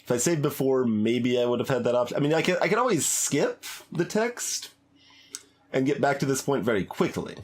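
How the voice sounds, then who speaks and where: A man's voice: mid-range voice, lively intonation, a little fast. A man giving casual video game commentary as a streamer, hesitant and thinking aloud as he plays. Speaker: gaming streamer.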